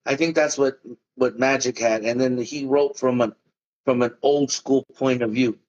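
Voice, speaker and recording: Man, musician guest, relaxed casual chat, says man and bro a lot, slightly dull recording. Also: medium pitch, normal speed. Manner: laid-back, talks in loose run-on sentences with "man" and "bro".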